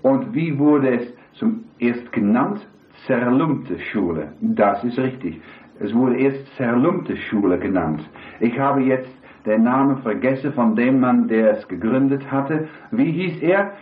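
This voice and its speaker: A hoarse man preaching a sermon, rambling and putting questions to the congregation. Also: medium pitch, animated, measured pace. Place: church hall with congregation. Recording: echoey, tinny lo-fi hall recording.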